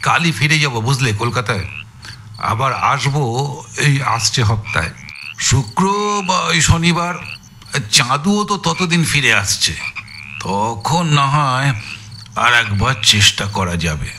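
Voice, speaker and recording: A man's voice: mid-range voice, expressive, slow pace, bright, forceful tone, a little hiss or hum. An actor playing a shrewd detective in an audio story, musing aloud and repeating words as he reasons and instructs. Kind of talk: Bengali audio story acting.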